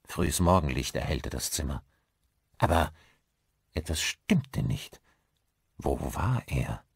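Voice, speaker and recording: A man narrates a horror audiobook in a deep, somewhat raspy voice. His delivery is theatrical and suspenseful, with very lively intonation. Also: slow pace, dry recording, clean studio sound. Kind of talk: dramatic scripted narration of a horror story.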